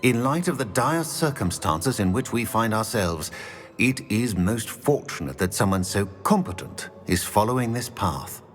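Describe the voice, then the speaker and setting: Deep-voiced, formal old wizard professor played by an actor. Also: male voice, expressive, faint music behind, natural voice. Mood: grave, courteous. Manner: formal, measured. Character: grave old male wizard professor, measured and courteous. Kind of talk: video game cutscene acting.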